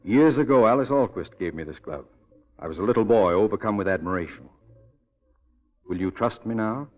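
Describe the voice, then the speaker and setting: An actor playing an earnest, insistent detective in 1940s radio drama style, with a clipped, commanding, deliberate delivery. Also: male voice, on the low side, dull audio, lightly acted. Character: earnest, insistent male detective, urgent and protective.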